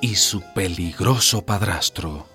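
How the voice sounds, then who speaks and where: A man's voice: deep voice, takes it slowly, over music. A man narrating a radio drama as a scripted story, with a grave, dramatic delivery.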